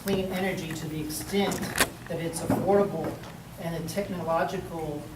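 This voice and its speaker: A candidate at a public forum giving a blunt, halting answer full of fillers. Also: medium pitch, fairly even intonation. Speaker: council candidate. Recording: echoey hall, distant mic, noisy.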